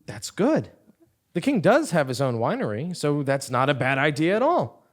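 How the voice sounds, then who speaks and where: A man's voice: fairly high pitch, sing-song, moderate pace. A man playing an exasperated, defiant commoner who leads a scheme, emphatic and incredulous, in a comic stage audio play. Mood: scheming. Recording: live theatre stage recording.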